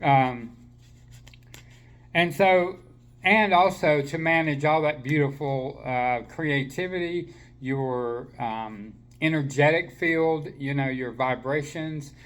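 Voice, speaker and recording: A man giving a slow, gentle spiritual talk to listeners while he has a slight cold, with many fillers and restarts. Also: fairly high pitch. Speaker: spiritual teacher.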